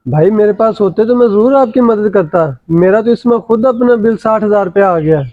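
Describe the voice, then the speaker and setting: Man acting as high-voiced friend, tinny sound. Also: no echo, natural voice. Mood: friendly, apologetic. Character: friendly but cash-strapped neighbourhood acquaintance. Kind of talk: amateur moral drama acting in Urdu. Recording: thin phone-like dry sound.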